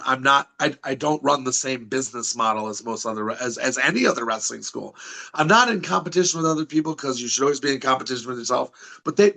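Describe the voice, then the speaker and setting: A man with a Michigan accent speaks as a podcast guest, his voice bright and forceful at an ordinary pitch. He talks confidently and promotionally, often stopping to restart a sentence mid-thought. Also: gravelly. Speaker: wrestling school head, guest. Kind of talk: podcast interview.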